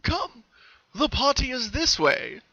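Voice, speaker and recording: Man doing a creepy, drawn-out colt party host. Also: very high-pitched, sing-song, pushed and bright, no room sound, muffled recording, pitched above the performer's own voice, exaggerated voice. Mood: creepy welcome. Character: creepy, unkempt old male colt, sinister party host.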